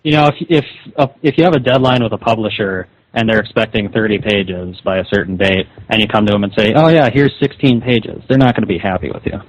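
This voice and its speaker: A man's voice: fairly low pitch, quick pace, few pauses. A man arguing over the phone in an insistent, scolding, confrontational way. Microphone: telephone call. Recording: dull lo-fi phone call recording.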